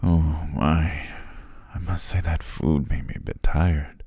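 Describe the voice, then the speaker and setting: A man playing a courteous, flattering demon king in an intimate ASMR roleplay, with formal, old-fashioned phrasing and a husky, gravelly voice. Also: slow pace, no room sound, clean studio sound, exaggerated voice. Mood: persuasive, courteous. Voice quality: very deep, gravelly, husky, breathy. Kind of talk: ASMR roleplay, intimate second-person acting.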